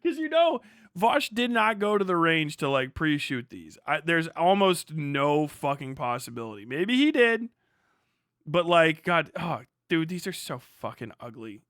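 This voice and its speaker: Animated, bright-voiced man ranting with heavy swearing. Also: on the high side, a little slow, no room sound, studio quality. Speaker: livestream host reacting to videos. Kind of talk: casual profane livestream commentary.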